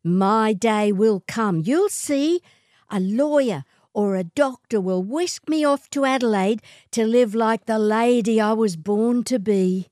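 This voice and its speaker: A woman voicing a haughty, snobbish young outback woman in a storybook reading, with a shrill, sneering, theatrical delivery. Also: slow, darkish tone, no room sound, clean studio sound. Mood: defiant, dreamy.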